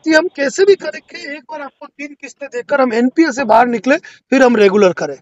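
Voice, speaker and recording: A man's voice: extremely high pitch, normal speed. A man speaking as a lawyer on a phone call, explaining a loan matter persuasively and insistently. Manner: persuasive, insistent, repeatedly asking to be heard. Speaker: lawyer advising on a loan default. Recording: dull lo-fi phone call recording.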